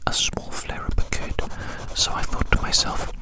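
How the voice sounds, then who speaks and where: A person whispering breathily and very close to the mic in an ASMR style, rambling gently and repeating phrases. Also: moderate pace. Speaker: ASMR video creator. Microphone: very close to the microphone.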